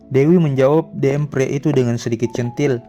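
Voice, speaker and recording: A man narrates a scripted story in a mellow, dark-toned voice. His pitch sits in the middle of the male range. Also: steady tone, a little fast, lo-fi sound, faint music behind.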